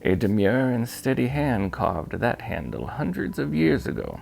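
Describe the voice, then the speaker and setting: A man voicing a kindly old male shopkeeper in an audiobook, with courteous, old-fashioned, gently formal phrasing. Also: medium pitch, wildly swooping pitch, unhurried, creaky, voice pushed up, heavily acted. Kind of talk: audiobook character voice.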